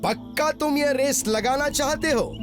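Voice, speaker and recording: A man voicing a calm, dignified wolf pack leader in a cartoon, measured and authoritative, with music behind. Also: very high voice. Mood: solemn.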